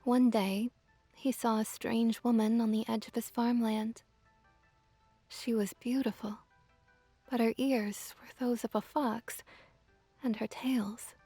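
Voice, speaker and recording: A woman voicing a gently dominant, teasing kitsune witch in an intimate ASMR roleplay, speaking softly and close, often near a whisper. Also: on the high side, little pitch movement, dry recording, soft background music, full character voice. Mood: gentle wistful storytelling. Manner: soft, breathy, slow cooing delivery, often near whisper. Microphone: close ASMR microphone.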